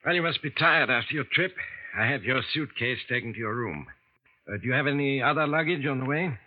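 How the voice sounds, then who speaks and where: An actor playing a courteous, businesslike man who manages an estate, in old-time radio drama style, polite and measured. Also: medium pitch, expressive, lightly acted. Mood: courteous, grave. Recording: muffled old radio recording.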